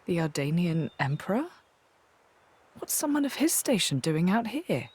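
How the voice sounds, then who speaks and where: A modest young warrior woman from a video game drama. Her voice is on the low side and breathy, with very lively pitch. She sounds informal, expressive and slightly hesitant. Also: a little slow, full character voice. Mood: puzzled. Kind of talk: video game cutscene acting.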